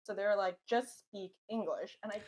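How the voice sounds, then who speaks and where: Easygoing woman guest, husky tone. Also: mid-range voice, fairly even intonation, normal speed, a little mellow. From Canada. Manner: laughing, easygoing. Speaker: podcast guest. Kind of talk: casual podcast chat.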